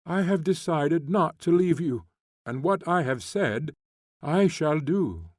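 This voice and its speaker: A male reader voicing a remorseful gentleman prince who pleads earnestly for forgiveness. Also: fairly high pitch, animated, slow pace, dry recording, studio quality, voice pushed up. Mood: pleading.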